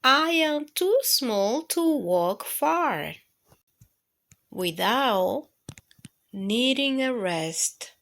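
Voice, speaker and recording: A woman's voice: on the high side, expressive, drawn-out words, long pauses, fairly bright tone. A woman hosting a children's storytime, reading picture books aloud. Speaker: children's storytime host and reader. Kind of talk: read-aloud children's picture book narration.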